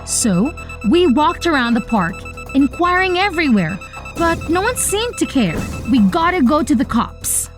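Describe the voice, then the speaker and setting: A woman performing an earnest young heroine of an animated story, telling it in a high, swooping voice. Her delivery is expressive and dramatic, with music playing behind her. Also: measured pace. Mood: earnest storytelling. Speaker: narrator and lead character. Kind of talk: animated first-person story narration with acted dialogue. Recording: voice over background music.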